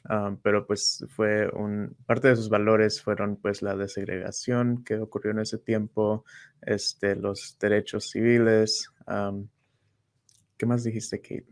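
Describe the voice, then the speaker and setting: A man co-hosts a casual web show and translates for a colleague, relaxed and joking as he goes. His voice sits a little low. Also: slow pace. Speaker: co-host and translator. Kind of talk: casual online talk show.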